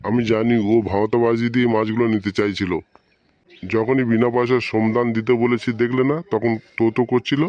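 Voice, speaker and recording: A man acts out a middle-aged fish merchant in a cartoon comedy, a shrewd father-in-law with a mid-pitched voice. He talks firmly, all business. The sound is very dry and a little muffled. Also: level tone, brisk, a little mellow, no room sound, lightly acted. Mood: shrewd, measured. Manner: firm, businesslike.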